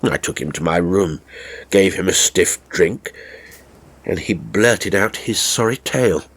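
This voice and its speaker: Expressive low-voiced man narrating a tale. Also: measured pace, breathy edge. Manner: theatrical, wry raconteur delivery. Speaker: horror story narrator. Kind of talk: dramatic scripted narration.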